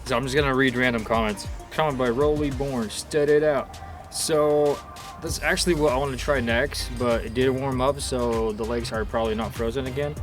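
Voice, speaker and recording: A man's voice: medium pitch, fairly even intonation, moderate pace, faint music behind. A young man from Ukraine hosting his RC car channel, chatting in a casual, laid-back way outdoors on a windy day. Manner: laid-back, chatty, loose phrasing with "dude" and "like". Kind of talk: casual vlog chat.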